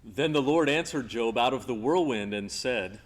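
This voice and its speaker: A man's voice: mid-range voice, lively intonation, moderate pace. A man narrating a scripted dramatized scripture reading. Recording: echoey hall, background noise, off mic.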